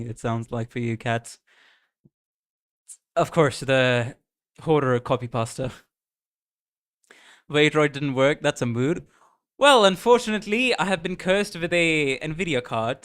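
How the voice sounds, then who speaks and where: A male streamer with a light Indian accent mixed with American and British tones, chatting casually and excitedly with his viewers. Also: medium pitch, clear, resonant tone. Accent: Indian, blended with American and British. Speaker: streamer reacting to game music. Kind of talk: casual live-stream chat.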